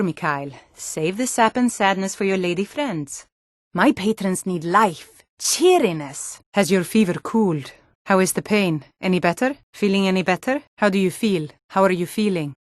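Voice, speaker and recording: A woman voicing a sturdy, plain-spoken Nord townswoman in a fantasy game, speaking scripted lines in a measured way. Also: mid-range voice, no echo, clean studio sound, close to an everyday voice. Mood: brisk, frank, then solemn, caring.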